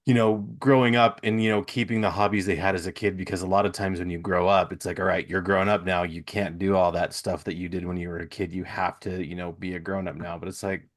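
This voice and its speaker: Low-pitched man hosting a casual chat. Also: little pitch movement, fast, few pauses. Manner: easygoing, informal, agreeable. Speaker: podcast host.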